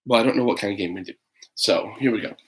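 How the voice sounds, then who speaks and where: A man's voice: on the low side, quick pace. A man in his thirties chatting casually and reading a children's storybook online, rambling and hesitant with playful asides. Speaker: parent reading a picture book for children online. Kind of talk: casual livestream chat and children's storybook read-aloud.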